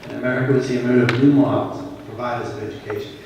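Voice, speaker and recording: A man with a Boston accent gives a keynote to a conference crowd, speaking conversationally and with emphasis and often turning questions back on the listeners. His voice sits at a middle pitch. It is picked up from a distance in a big hall that leaves a long echo trail behind each phrase. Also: little pitch movement, very fast, darkish tone, slight background noise. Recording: echoey hall, voice from far off.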